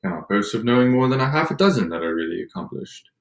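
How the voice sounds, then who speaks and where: A man playing a proud, reserved young gentleman in a period drama reading, formal, measured and aloof. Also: mid-range voice, sing-song. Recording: echoey room.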